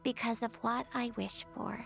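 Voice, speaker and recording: A woman's voice: fairly high pitch, fairly even intonation, moderate pace, fairly bright tone. A woman playing a grieving, bitter young woman in a video game, wistful and self-mocking, with dramatic delivery. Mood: bitter, resigned. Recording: game dialogue under clear music.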